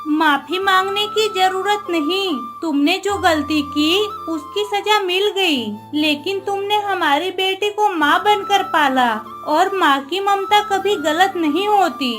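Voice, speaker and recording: A woman acts out a motherly cartoon queen, gentle and dignified. Her voice is extremely high and unhurried, with music faintly behind it. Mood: forgiving. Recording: music under the voice.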